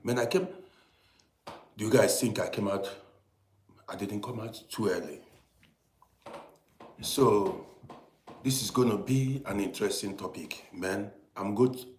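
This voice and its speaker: A man's voice: on the low side, unhurried, long pauses, a little mellow, roomy sound. A man from Africa, hosting a casual livestream, chatting and greeting viewers by name, repeating himself as he talks. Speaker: livestream host. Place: lives in Europe.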